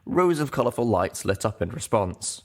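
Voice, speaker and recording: A man's voice: medium pitch, sing-song, moderate pace. A man reading a first-person story aloud as an audiobook narrator, in scripted narration.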